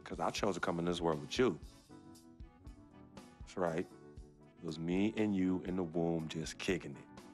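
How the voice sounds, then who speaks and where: An actor playing a young male basketball star in a video game cutscene, speaking in an earnest, measured, heartfelt way. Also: low voice, darkish tone, faint music behind. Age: young adult. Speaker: game protagonist.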